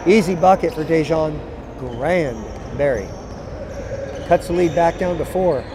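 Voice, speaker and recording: A hoarse man with a raspy voice doing live, partisan color commentary for a basketball game. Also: a little high, unhurried, background noise. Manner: partisan, cheers on one team with "we". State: hoarse, tired voice.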